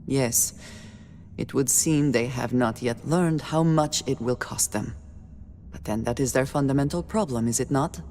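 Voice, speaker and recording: Guarded woman explorer, very low voice, formal measured phrasing, steely calm, long reverb, game cutscene. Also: slight background noise. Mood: guarded, stern. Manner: formal, measured speech without contractions. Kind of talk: video game cutscene acting.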